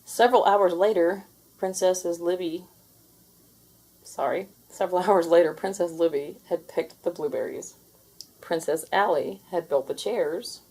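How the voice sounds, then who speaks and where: A woman reading a children's story aloud in an expressive, deliberate storytelling manner. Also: lowish voice, breathy edge. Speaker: storybook reader. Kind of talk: children's read-aloud narration with character voices.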